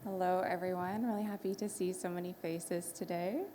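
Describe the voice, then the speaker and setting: A woman from Canada speaking as a panelist at a conference, calm and measured. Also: lowish voice, a little hiss or hum.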